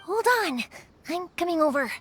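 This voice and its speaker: A bubbly, kind young woman from a video game, chatty and upbeat. Her voice is very high and bounces with animated intonation, with music clearly behind it. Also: normal speed. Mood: reassuring.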